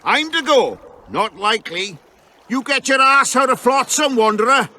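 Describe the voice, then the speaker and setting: An actor playing a hostile, coarse villager in a video game, blunt and accusing. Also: male voice, extremely high pitch, lively intonation, normal speed, pushed and bright, a little hiss or hum, full character voice. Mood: scornful, threatening.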